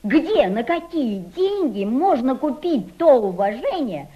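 An actress playing a weary, wistful, quietly principled Russian émigré woman in a radio play, with measured, deliberate delivery. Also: high-pitched, sing-song. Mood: firm, composed. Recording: dull, hissy old radio drama recording.

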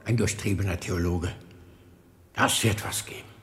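An actor playing a very old, frail and devout monk in a radio drama, speaking in a fervent, halting, theatrical way. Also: male voice, low-pitched, fairly even intonation, a bit bright. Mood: grave, wary. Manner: theatrical, halting, breaks into whispers and lament. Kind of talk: German radio drama acting.

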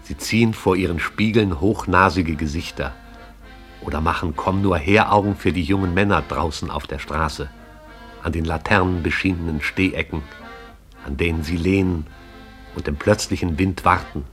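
A man narrating a radio play in a measured, poetic manner. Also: low-pitched, fairly bright tone, soft background music.

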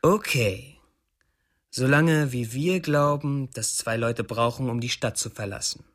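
A man's voice: mid-range voice, unhurried, lightly acted. A male actor in a radio play, playing a menacing young criminal taking over, with a taunting, crude and domineering manner. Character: menacing young male drug-scene rival seizing power.

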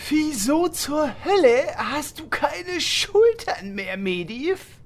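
An actor playing a bossy, pragmatic fantasy adventurer in a comedy audio drama. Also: male voice, very high-pitched, big pitch swings, slow pace, full character voice. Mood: exasperated, practical.

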